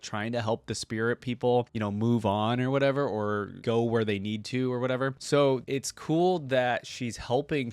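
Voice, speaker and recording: A man's voice: medium pitch, dry recording. A young man giving casual reaction commentary as a video host, informal and easygoing, thinking aloud as he goes. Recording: dry, faint music behind.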